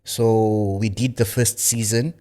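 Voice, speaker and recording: A man's voice: fairly low pitch, fairly even intonation, slow pace, dark tone. A man from Zimbabwe, a filmmaker guest in a studio interview, talking casually with many fillers and restarts.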